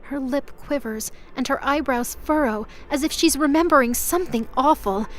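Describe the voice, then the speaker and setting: A woman's voice: high voice, moderate pace, slight background noise. A woman reading scripted, dramatic fiction as the narrator of an audio drama. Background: music.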